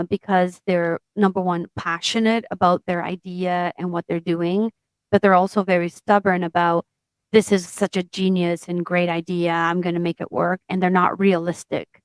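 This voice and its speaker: A woman in her forties, a tech founder from Portugal, telling her story in an interview in a steady, matter-of-fact way. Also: fairly low pitch, smooth, no echo. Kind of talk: interview conversation.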